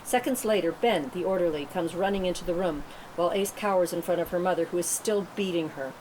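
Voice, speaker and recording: A woman's voice: fairly low pitch, a little fast, darkish tone. A woman reading scripted stage directions as narrator at a table read, in a noisy room.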